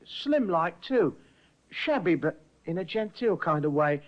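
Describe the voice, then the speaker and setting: A frightened little ship's cook, deferential and stammering, always eager to please. He speaks in a high voice. The sound is muffled like an old broadcast. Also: sing-song, a little slow. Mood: nervous. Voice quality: deferential, stammering, keeps saying sir.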